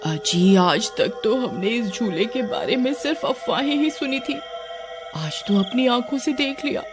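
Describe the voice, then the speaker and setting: A woman's voice: on the high side, expressive, measured pace, music in the background. A woman voicing a timid, fretful village wife who scolds her husband in a dramatic cartoon manner. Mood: frightened. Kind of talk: Hindi cartoon character acting.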